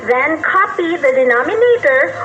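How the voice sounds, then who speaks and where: A woman's voice: high voice, lively intonation, moderate pace, fairly bright tone. A woman with a Filipino accent co-hosting a live radio lesson, friendly and eager, speaking directly to listeners. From Philippines. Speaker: radio lesson co-host and teacher. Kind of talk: live educational radio hosting, scripted banter. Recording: dull lo-fi radio broadcast audio.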